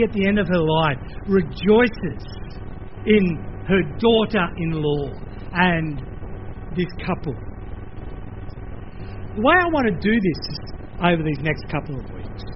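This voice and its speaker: Older aussie guy giving a sermon, pretty high voice, chatty and playful, bit muffled with faint noise. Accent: Australian. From Australia. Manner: animated, conversational, playful asides. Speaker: pastor.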